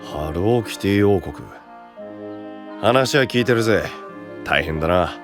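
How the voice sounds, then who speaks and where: A man playing a brash, good-hearted young knight who talks rough and blunt in an anime-style voice drama. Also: on the low side, lively intonation, a little slow, over music. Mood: friendly, frank. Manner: rough, blunt, casual tough-guy speech.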